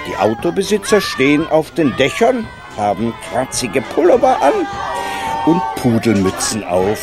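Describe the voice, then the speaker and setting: A theatrical storyteller for a whimsical radio play, savouring every word. The delivery is slow and swings dramatically up and down, with music clearly playing behind it. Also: mid-range voice. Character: expressive storyteller narrating a whimsical town festival. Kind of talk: scripted radio play narration.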